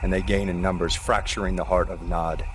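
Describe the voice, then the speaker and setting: An actor playing a commanding, zealous and threatening militant general in a video game cutscene, with a stern, authoritative manner and clipped orders. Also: male voice, low-pitched, little pitch movement, fairly quick, lightly acted. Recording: noisy game cutscene audio.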